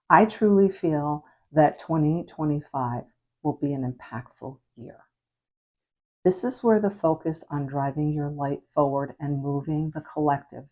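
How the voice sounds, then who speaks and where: Hoarse woman preacher, low mellow voice, unhurried earnest delivery, raspy from recent illness. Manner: slow, earnest, drops softly at phrase ends; prayerful, reflective. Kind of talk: devotional message.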